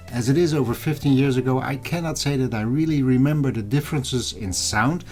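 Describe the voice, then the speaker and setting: A middle-aged man hosts a scripted vlog with a medium-pitched voice. He explains things in a measured way and talks straight to the viewer, with clearly audible guitar music playing behind him. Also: darkish tone. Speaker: video channel host. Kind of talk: scripted vlog narration over old photos and footage.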